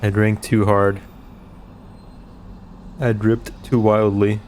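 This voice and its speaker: A man's voice: on the low side, flat delivery, slow, darkish tone, slight background noise. A sniffly man streaming a game, swearing casually and reading on-screen text aloud. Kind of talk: casual gameplay commentary.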